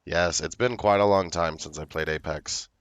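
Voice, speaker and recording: A young man streaming a game, with a hoarse voice from illness, chatting slowly and loosely. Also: deep voice, fairly even intonation, no echo. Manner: slow, rambling, laid-back talk. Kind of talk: casual livestream chat.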